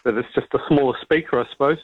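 A man's voice: mid-range voice, very fast, breathy edge. A man calling in to a radio show, chatting in a laid-back, wry way. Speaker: caller. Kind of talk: casual radio call-in chat. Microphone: telephone. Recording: tinny phone line.

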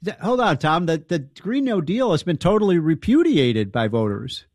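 A man's voice: a little high, very animated, darkish tone, no echo, studio quality. A man hosting a talk radio show, sounding stuffed up from a summer cold, casual with frequent interjections. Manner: casual, quick interjections, some stumbles.

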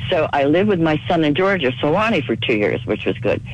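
A woman in her seventies calling in to a radio show, hoarse from a cold, talking fast and rambling from one thing to the next. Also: low-pitched, big pitch swings, dull audio. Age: 70s. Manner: rapid, rambling and chatty. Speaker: caller. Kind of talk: call-in radio conversation.

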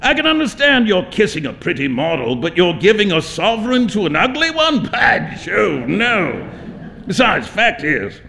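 A man voicing a jovial, teasing young English gentleman in a theatrical story reading. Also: high-pitched, big pitch swings, moderate pace, gravelly, pitched above the performer's own voice, exaggerated voice. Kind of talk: dramatic literary story reading.

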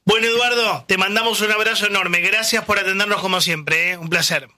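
A man with an Argentine accent hosts a live political show. His voice is high and extremely bright, with a forceful edge, and he talks bluntly and insistently. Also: brisk. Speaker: radio or TV show host.

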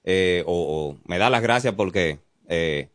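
Very deep Dominican man, dry studio. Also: a little slow, no room sound. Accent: Dominican Spanish. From Dominican Republic. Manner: explanatory, calm, many fillers. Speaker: police officer guest. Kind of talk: informative radio interview. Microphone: studio mic.

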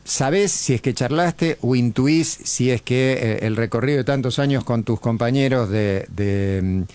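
A man's voice: mid-range voice, unhurried, a little mellow, clear, resonant tone. A man with an Argentine accent hosting a radio interview, asking long, winding questions. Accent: Argentine Spanish. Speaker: radio host.

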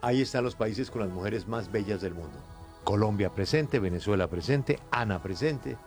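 A man hosting a morning talk show, bantering quickly and teasing his co-hosts. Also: fairly low pitch, faint music behind. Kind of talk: brisk, joking studio banter.

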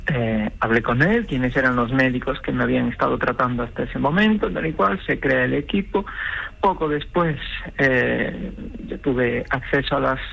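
A woman's voice: a bass voice, moderate pace, gravelly, fairly bright tone, breathy edge, lo-fi sound. A woman giving personal testimony as a radio interview guest, telling her story animatedly and acting out quoted dialogue. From Galicia, Spain. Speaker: interview guest, truck driver.

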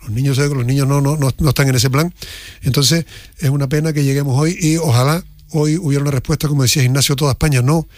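A man's voice: mid-range voice, steady tone, quick pace, darkish tone, no room sound, clean studio sound. A man making emphatic, critical points as a panelist in a televised political debate.

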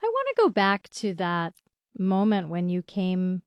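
Woman radio host, asks curious questions, lots of pitch movement, dry studio sound. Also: wildly swooping pitch, unhurried, clean tone, no echo, dull audio. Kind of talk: radio interview.

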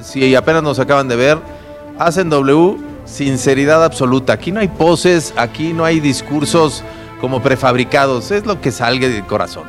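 A man's voice: mid-range voice, moderate pace, over music. A man with a Mexican accent hosting an unscripted sports panel, speaking emphatically and often repeating himself. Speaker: sports talk show host.

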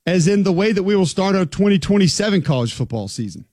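A man's voice: sits high, animated, quick pace, darkish tone, no room sound, clean studio sound. A man co-hosting a casual sports talk show, joking wryly and reading facts aloud. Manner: wry, joking, reads stats aloud.

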